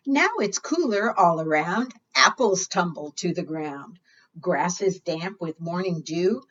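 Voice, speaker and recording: A woman's voice: fairly low pitch, lively intonation, unhurried, fairly bright tone. A woman leading a preschool storytime, reading aloud expressively and putting questions to her young listeners. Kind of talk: read-aloud for young children. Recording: dull lo-fi sound.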